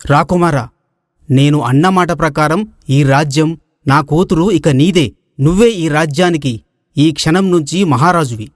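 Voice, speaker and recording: A man's voice: fairly high pitch, a little slow, dry recording, studio quality, close to an everyday voice. A man playing a grateful, gracious king and father, speaking formally and emotively in a storybook tale. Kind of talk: Telugu moral story voice acting.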